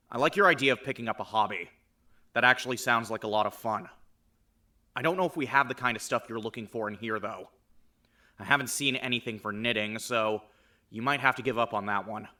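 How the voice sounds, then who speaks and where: A man plays a gentle, bashful young man with a bright, clear voice, reading his letter in an earnest, soft-spoken way. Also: mid-range voice, natural voice.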